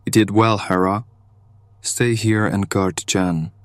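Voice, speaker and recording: Actor as a deep-voiced, steady male leader. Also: slow, dry recording. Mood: firm, reassuring. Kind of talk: audio drama acting.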